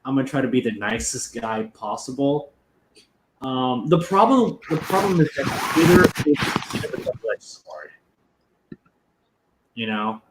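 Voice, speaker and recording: A man's voice: medium pitch, animated, unhurried, pauses often. A male host chatting loosely on a livestream, rambling and restarting his sentences. The voice rings in a roomy, echoey space, with a faint hiss of noise under it. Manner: rambling and informal, full of restarts and fillers. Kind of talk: casual chat on a livestream.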